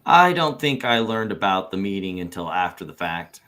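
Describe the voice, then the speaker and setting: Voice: deep voice, big pitch swings, moderate pace, a bit bright, exaggerated voice. A reader acts out a male businessman testifying in a deposition. He is evasive and noncommittal, hedging his answers as the transcript is read aloud. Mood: guarded.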